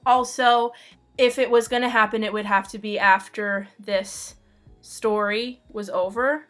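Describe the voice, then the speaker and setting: A woman's voice: medium pitch, fairly bright tone. A woman answering fan questions about her own comic in a casual, jokey and informal way. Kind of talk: casual playful chat.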